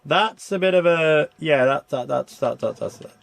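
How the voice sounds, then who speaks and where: A man's voice: fairly high pitch, moderate pace, creaky, no echo. A man giving casual, rambling gameplay commentary, thinking aloud as he plays and reviews a video game.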